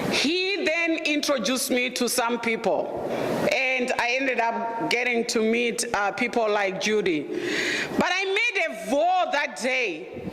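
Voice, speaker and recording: A middle-aged woman from Zimbabwe with a Zimbabwean accent and a deep voice, telling her own story as a guest presenter in a candid, humorous and emphatic way. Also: fairly bright tone, slight background noise. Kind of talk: personal storytelling talk. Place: lecture room. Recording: echoey room with long reverb.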